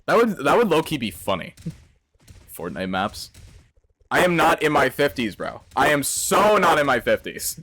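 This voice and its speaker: A man's voice: a little high, sing-song, a little slow, a bit bright, slight background noise. A man in his twenties chatting casually on a live stream, reading out chat questions and answering in slangy, profane banter. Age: 20s. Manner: slangy, reads chat aloud, swears and laughs often. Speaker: game developer streamer.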